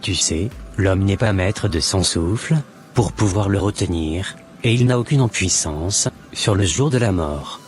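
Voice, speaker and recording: Low-voiced devout man, acted by a man, measured. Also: soft background music. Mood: calm, admonishing. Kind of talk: scripted cartoon dialogue.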